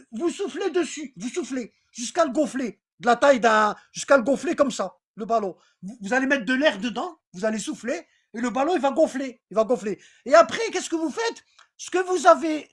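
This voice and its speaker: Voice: high-pitched, a bit bright, husky. A religious teacher running an informal online class, often repeating phrases and asking listeners if they follow. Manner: repetitive, rambling, checks for understanding; reads aloud from text, then comments.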